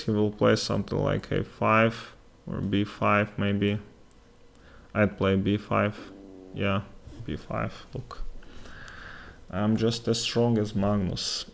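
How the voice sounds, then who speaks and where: Slow, gravelly Russian-accented man. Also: fairly low pitch, a little hiss or hum. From Latvia. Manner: halting, with many fillers and pauses. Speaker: chess commentator. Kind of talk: live chess commentary with chat.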